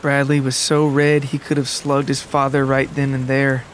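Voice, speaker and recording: A man narrating a scripted literary story in a measured, deliberate way. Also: medium pitch, monotone, a little hiss or hum. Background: music and rain.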